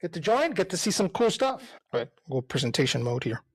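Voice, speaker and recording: A man's voice: on the high side, sing-song, fairly quick, husky. A middle-aged man from El Salvador, a company CEO, giving a casual, enthusiastic webinar presentation with frequent fillers and "right?" asides.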